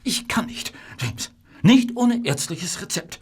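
An actor in a German radio play as a worried, conscientious Victorian pharmacist, pleading and morally stern. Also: male voice, high voice, sing-song, slow pace, husky, heavily acted. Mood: pleading, admonishing.

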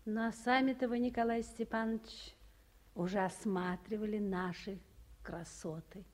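An actress playing a proud, weary middle-aged town official with gentle irony, in an old Soviet radio play, speaking in measured, pointed phrases. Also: female voice, medium pitch, fairly even intonation, lightly acted. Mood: bitter, restrained. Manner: measured, pointed, with meaningful pauses. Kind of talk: mid-century Soviet radio play acting.

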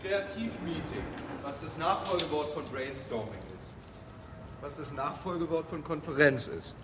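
A man's voice: on the high side, normal speed, over loud music, close to an everyday voice. An actor playing a glib, self-assured advertising man who explains matter-of-factly and asks rhetorical questions in a radio play. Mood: detached, musing. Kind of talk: German radio play, conversational monologue acting.

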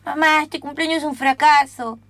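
Little girl, high pitch, Argentine Rioplatense accent, chatty, pleading, whiny, comedic radio play. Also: fairly even intonation, normal speed, a bit bright, dry recording. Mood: disappointed, complaining. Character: chatty, needy seven-year-old birthday girl with glasses.

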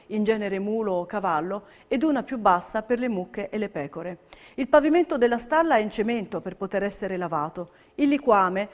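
A woman giving scripted, theatrical documentary narration for a stage piece. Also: mid-range voice. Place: radio broadcast of a theatre piece.

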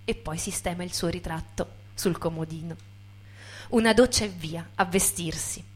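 A woman's voice: lowish voice, moderate pace, husky. A woman performing as a storyteller, moving between theatrical narration and informal, reflective talk to an audience.

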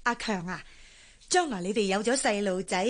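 A woman's voice: medium pitch, moderate pace, lightly acted. An actress playing a well-meaning, meddling woman in a Cantonese radio drama, fussy and exclaiming, with a Hong Kong accent. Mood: cheerful, reassuring. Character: chatty, meddling, well-meaning female friend of the bride.

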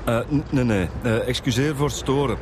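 An actor playing a polite, sympathetic Flemish police inspector in a radio drama, with outdoor traffic noise around him. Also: male voice, medium pitch, fairly quick, close to an everyday voice. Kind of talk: naturalistic radio drama acting.

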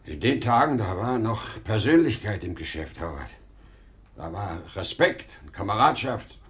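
An older man acting a proud, nostalgic, pleading travelling salesman in a 1950s German radio play, emotional and halting. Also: low-pitched, exaggerated voice. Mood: sad, weary. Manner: emotional, halting when pleading, repeating himself.

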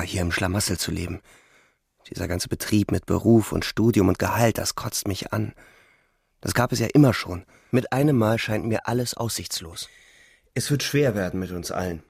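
Low husky soldier narrator. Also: male voice, a little mellow, no room sound, studio quality, heavily acted. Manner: reflective, sombre. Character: disillusioned young soldier narrating the war. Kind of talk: literary narration in German radio drama.

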